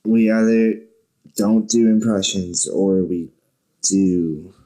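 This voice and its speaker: A man hosts a loose podcast chat from a bedroom. His voice is mellow and relaxed, and he rambles, jokes and swears freely as he talks. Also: on the low side, takes it slowly. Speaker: podcast host. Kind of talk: casual chat.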